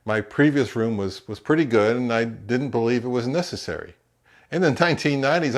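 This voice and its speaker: A middle-aged man narrating a hi-fi vlog in a conversational, measured, explanatory way. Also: mid-range voice, echoey room. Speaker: hi-fi YouTuber. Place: indoors in a home room.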